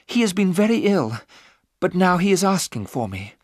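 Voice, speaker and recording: Man voicing an anxious, devoted young woman, pitched high. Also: very animated, normal speed, no echo, clean studio sound. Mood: tender, worried.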